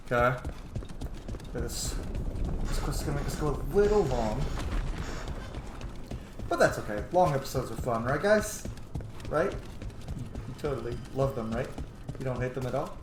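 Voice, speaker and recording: A man's voice: medium pitch, expressive, normal speed, long pauses. A man giving casual, rambling, playful video game commentary, swearing freely and talking to himself and his audience. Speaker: gamer hosting a playthrough. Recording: roomy echo, noisy game sound behind. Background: video game sound.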